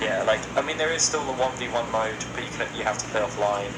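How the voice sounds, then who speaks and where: A man's voice: lowish voice, quick pace, heavy background noise. A man co-hosting a podcast, casually discussing video game news in long, opinionated explanations. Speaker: podcast co-host.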